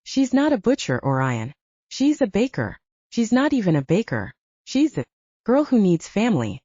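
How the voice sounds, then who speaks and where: A woman's voice: mid-range voice, wildly swooping pitch, normal speed, mellow, no room sound, lo-fi sound. A woman voicing a brash, teasing male werewolf pack leader with a sarcastic, needling manner, in an audiobook. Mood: indignant.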